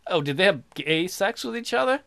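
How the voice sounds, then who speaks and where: Bright-voiced man joking through a game. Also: high-pitched, animated, brisk, creaky. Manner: crude, joking, sarcastic. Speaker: let's player. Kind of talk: casual game commentary.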